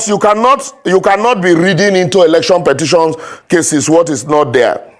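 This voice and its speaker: A man's voice: a little high, normal speed. A man with a Nigerian accent arguing legal points in a heated panel debate, emphatic and repeating himself for stress. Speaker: political panel guest.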